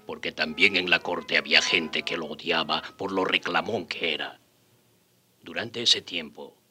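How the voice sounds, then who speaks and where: A man's voice: sits low, sharp tone, full character voice. A man playing a grieving, haunted survivor who narrates sorrowfully and despairs bitterly in a radio drama. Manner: slow, sorrowful storytelling breaking into anguished outcry. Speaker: narrator and character actor. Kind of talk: radio drama first-person narration and acting. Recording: radio drama with background music.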